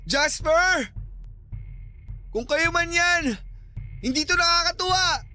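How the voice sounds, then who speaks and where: An anxious young cameraman in a radio horror drama. His voice is strained, very high and piercing, urgent and prone to stammering, protective of his partner, over background music. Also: slow pace, sharp tone, heavily acted. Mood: fearful. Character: anxious young male cameraman, protective boyfriend of ghost hunter.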